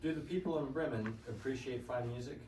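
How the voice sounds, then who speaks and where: A man's voice: mid-range voice, a little fast, darkish tone, lightly acted. A teenage boy playing a proud, boastful farmyard rooster facing his end in a reader's theater reading. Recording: echoey noisy classroom, mic at a distance.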